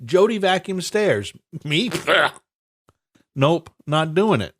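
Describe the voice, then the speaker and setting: A man's voice: fairly high pitch, very animated, slow pace. A stuffed-up man with a slightly raised voice rambles through casual podcast banter. He swears freely and stumbles over restarts, often laughing. His tone stays loose and chatty. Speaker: podcast co-host.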